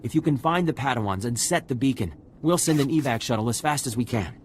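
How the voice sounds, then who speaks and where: An actor playing a reassuring, businesslike rescue coordinator in a video game. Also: male voice, medium pitch, animated, quick pace, slight background noise, natural voice.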